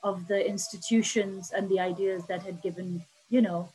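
A woman from Pakistan, a guest author who talks fast and often restarts her sentences in a casual interview. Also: mid-range voice, steady tone, dark tone, husky, low-quality recording. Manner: fast, restarting, stumbling over words.

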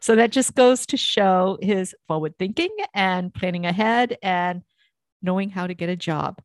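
A middle-aged woman hosting a relaxed, conversational interview. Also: on the low side, measured pace, dry recording. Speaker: interview host, former small-town mayor.

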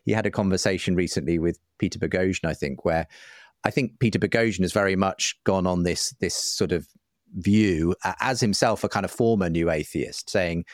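A man with a low-pitched voice talks as a guest in a thoughtful interview. He is articulate and discursive, explaining at length in a conversational way, and the sound is close and dry. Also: a little fast, dry recording. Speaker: interview guest, writer and broadcaster.

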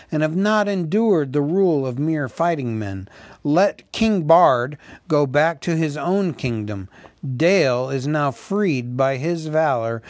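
A man's voice: fairly high pitch, darkish tone, no room sound, natural voice. A man voicing a pompous, wily old town master who argues his case like an orator in a storybook. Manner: oratorical, rhetorical questions.

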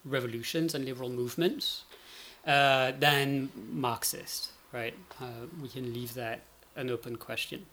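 A man's voice: mid-range voice, fairly even intonation, unhurried, bright, forceful tone. A man speaking as an expert guest in a conversational interview, thinking aloud and often checking with "right?". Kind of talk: conversational explanation in a podcast interview.

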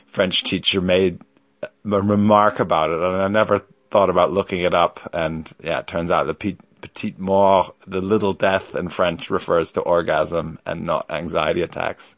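A man's voice: low voice, little pitch movement, normal speed, no echo, dull audio. A man in his forties hosting a solo podcast, talking in a hesitant, rambling way with lots of ums and yeahs. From Germany. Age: 40s. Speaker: podcast host.

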